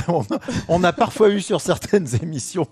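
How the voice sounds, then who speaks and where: A man's voice: high-pitched, expressive, normal speed, darkish tone, breathy edge, dry recording. A man co-hosts a casual podcast interview. He asks his questions with a jokey, teasing touch. His voice is dry, with faint music behind. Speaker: podcast co-host.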